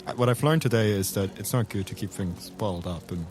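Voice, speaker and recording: A man's voice: lowish voice, brisk, over music, lightly acted. A man playing a blunt, probing investigator in improvised tabletop roleplay, pressing with repeated questions. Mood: insistent, pressing.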